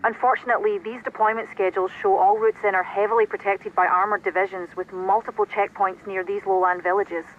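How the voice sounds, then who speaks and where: An actress playing a precise, formal military intelligence officer, giving businesslike briefings over the radio in a video game. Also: female voice, mid-range voice, level tone, fast talker, few pauses. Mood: businesslike, informative. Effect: radio transmission filter. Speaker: intelligence and operations support officer. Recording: dull band-limited radio sound, faint noise.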